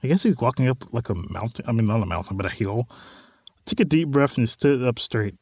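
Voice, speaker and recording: A man's voice: mid-range voice, lively intonation, fairly quick, husky, no room sound. A man hosting a let's play of a visual novel, reading text aloud and adding informal, wry asides. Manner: informal, wry side comments on what is read.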